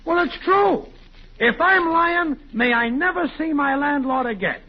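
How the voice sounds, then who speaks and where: An actor playing a sly, cocksure wisecracking neighbor, boastful and needling, in 1940s radio comedy style. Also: male voice, extremely high pitch, animated, a little slow. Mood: bragging. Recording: muffled old-time radio recording, faint hiss.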